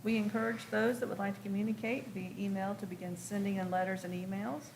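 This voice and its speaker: A woman's voice: mid-range voice, little pitch movement, fast, vocal fry, a little mellow, poor audio. Woman chairing a public meeting, faint room noise. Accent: American. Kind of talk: running a formal public meeting, partly reading announcements.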